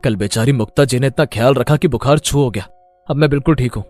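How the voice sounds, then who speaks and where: A man's voice: mid-range voice, quick pace, a little mellow, faint music behind, close to an everyday voice. A man playing a stubborn, neglected young husband in a melodramatic story, with an emphatic, aggrieved manner. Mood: pleased. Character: neglected, stubborn young husband Aditya, smitten with another woman. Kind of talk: melodramatic Hindi moral story acting.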